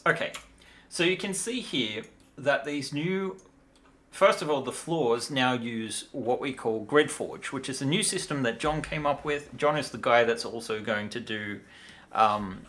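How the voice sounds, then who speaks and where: Tired man with a bright, forceful voice. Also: medium pitch, unhurried. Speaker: livestream host, miniature designer. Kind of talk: casual livestream talk and software demo.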